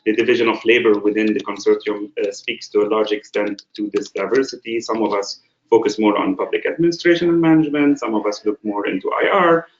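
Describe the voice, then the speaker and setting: Male academic presenting a webinar, halting delivery full of fillers, echoey room, muffled lo-fi sound. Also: mid-range voice. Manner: halting, frequent filler sounds, poses rhetorical questions. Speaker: associate professor and program coordinator. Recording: roomy, dull lo-fi webinar audio.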